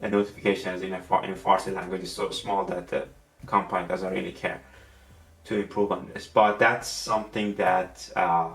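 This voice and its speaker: Guy with a heavy persian accent doing a gadget review, kinda low voice, keeps restarting, echoey room, mic a bit far. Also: flat delivery, a bit bright. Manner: halting, repeats words and restarts often. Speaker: tech reviewer. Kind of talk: casual product review. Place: room at a desk, indoors. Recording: roomy, distant mic with faint music.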